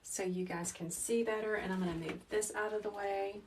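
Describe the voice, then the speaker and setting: A woman in her thirties hosting a live wreath-making tutorial, chatting calmly with viewers in a soft teacherly way. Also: medium pitch, a little fast. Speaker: wreath-making livestream host. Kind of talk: casual live craft tutorial. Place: small indoor craft workroom. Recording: slightly distant mic with faint noise.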